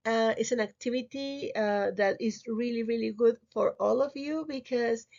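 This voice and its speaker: A woman with a fairly high voice and a Peruvian Spanish accent teaches a slide lesson to students. Spanish is her first language, and she phrases things simply and hesitantly. The recording is a little muffled. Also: moderate pace, vocal fry, darkish tone. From Lima, Peru. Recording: slightly muffled, dull audio.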